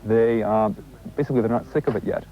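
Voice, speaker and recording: A man's voice: fairly low pitch, fast. A young man in his twenties, a salesman, answering casually and upbeat. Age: 20s. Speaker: young entrepreneur. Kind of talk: interview answers. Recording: slightly dull broadcast audio with faint noise.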